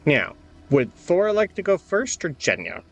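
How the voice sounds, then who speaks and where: Animated male game master, casual. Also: high-pitched, unhurried. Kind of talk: casual tabletop game narration.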